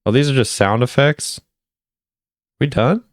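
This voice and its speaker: A man's voice: medium pitch, measured pace, no room sound, studio quality. A man giving casual chatty commentary as a video creator, often repeating phrases for emphasis. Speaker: video creator ranking game voice lines.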